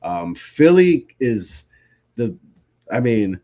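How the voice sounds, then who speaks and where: A man's voice: mid-range voice, very animated, slow pace, warm tone. A man in his thirties hosting a casual live-stream sports talk show, sounding stuffy from a cold and speaking in a loose, conversational way with frequent restarts. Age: 30s. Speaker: podcast host.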